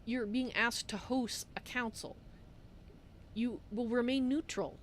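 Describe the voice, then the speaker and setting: A woman's voice: on the high side, steady tone, slow pace. Woman playing a chatty cat-folk adventurer, noisy background. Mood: earnest, persuasive. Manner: speaks of self in third person. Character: female Khajiit cat-folk adventurer, polite, chatty, persuasive. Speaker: roleplay streamer. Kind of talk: improvised in-character fantasy game roleplay.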